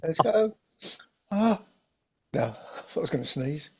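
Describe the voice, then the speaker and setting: A man hosting a podcast in casual chat, with a husky voice. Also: on the high side, sing-song, a little slow, darkish tone. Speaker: podcast host.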